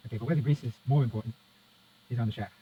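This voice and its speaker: Fast-talking man, dull lo-fi recording. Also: medium pitch. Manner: muttering step-by-step commentary to himself and viewer. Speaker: presenter of a radio repair how-to. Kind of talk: casual hands-on demonstration talk. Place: indoors at a repair workbench. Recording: dull lo-fi sound with faint noise.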